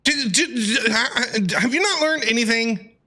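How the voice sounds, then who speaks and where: Bright, high-voiced male game streamer. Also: moderate pace, a little hiss or hum. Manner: exclamatory, talks back to characters. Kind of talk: casual reaction commentary. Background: game music.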